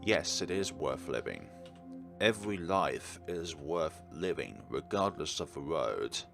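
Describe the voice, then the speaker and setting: A man hosting a game playthrough, reading the text aloud and commenting in an earnest, deliberate, theatrical way. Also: extremely low pitch, big pitch swings, gravelly, over music. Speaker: video game playthrough host. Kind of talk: dramatic read-aloud of game text with his own commentary.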